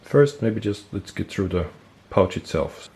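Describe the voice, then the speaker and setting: A man with a stuffy nose, casually explaining military gear on camera, relaxed and thinking aloud with fillers and self-corrections. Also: fairly low pitch, a little slow, gravelly. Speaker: military gear collector and video host. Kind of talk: casual show-and-tell explanation.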